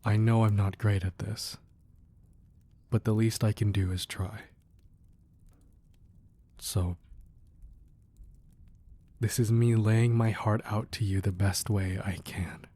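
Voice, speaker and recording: Casting: man to play romantic mob boss husband for ASMR audio drama; slow, low, hushed, protective, quietly menacing. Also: level tone. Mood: tender, pleading. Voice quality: soft, breathy, hushed voice. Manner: slow, deliberate, tender murmuring with pauses. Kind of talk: intimate ASMR roleplay acting.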